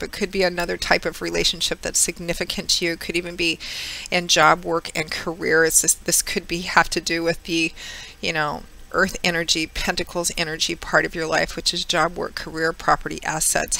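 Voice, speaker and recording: A woman giving a chatty tarot reading, her voice hoarse and a little froggy from a cold. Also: level tone, fairly quick, bright, forceful tone, low-quality recording. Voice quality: slightly froggy, squeaky. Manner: chatty, with many fillers. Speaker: tarot reader. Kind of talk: casual monthly tarot reading.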